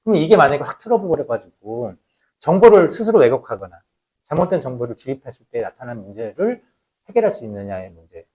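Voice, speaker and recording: A man's voice: mid-range voice, big pitch swings, fast talker, dark tone. A man giving an explanatory lecture as a researcher, emphatic and repeating his key points.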